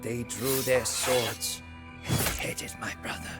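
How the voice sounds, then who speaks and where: A proud, ambitious Burmese warrior king, acted by a man for a game cutscene, speaks at a measured pace over prominent music. His mid-pitched voice is grave and theatrical, declaiming his own tale with brooding, imperious weight. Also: fairly even intonation, lightly acted. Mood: grieving, bitter. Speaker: narrator and protagonist of game cutscenes. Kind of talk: dramatic scripted first-person narration.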